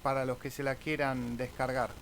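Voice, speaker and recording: A man's voice: medium pitch, level tone, normal speed, fairly bright tone. A man giving an informal, casual screen-share tutorial as an amateur radio YouTuber, often tagging phrases with a questioning "eh?". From Argentina. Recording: clear background noise.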